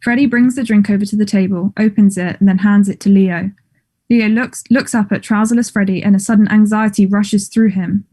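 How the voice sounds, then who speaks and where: A woman reading stage directions as scripted narration in a measured, neutral manner. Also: mid-range voice, steady tone, warm tone.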